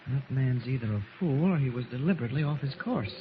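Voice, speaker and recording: An actor playing a level-headed, inquisitive hero, polite but blunt and probing, in 1940s radio style. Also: male voice, medium pitch, lively intonation, fast, close to an everyday voice. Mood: musing, suspicious. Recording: muffled, noisy old radio recording.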